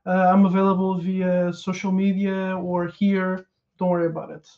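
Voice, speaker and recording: A man's voice: high voice, moderate pace, dull audio. A man in his thirties from Portugal with a Portuguese accent, giving a casual technical conference talk over a headset, with a lot of ums and uhs. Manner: relaxed, lots of ums and uhs, restarts. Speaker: conference speaker. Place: small room at home.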